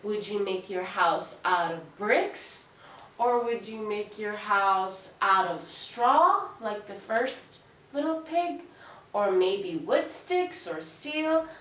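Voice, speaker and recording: A woman telling a children's story in an expressive, deliberate, teacherly way, putting questions to young listeners. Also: medium pitch. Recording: echoey room, distant mic.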